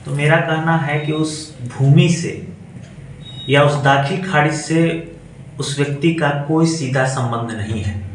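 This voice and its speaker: A man with a Bihari accent speaks from some distance in a heavily reverberant room. His voice sits a little high. He sets out his case as an official would, deliberate and argumentative, restating points for emphasis over faint noise. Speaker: government land revenue officer. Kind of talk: explanatory defensive statement to a reporter.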